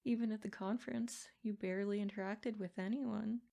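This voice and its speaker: A woman's voice: medium pitch, fairly even intonation, brisk, vocal fry, dark tone, close to an everyday voice. A woman voicing a sadistic, possessive princess in an ASMR roleplay, speaking in formal, haughty phrases with silky menace. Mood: haughty, musing. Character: sadistic, possessive yandere princess, smug captor. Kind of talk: ASMR roleplay, scripted character monologue.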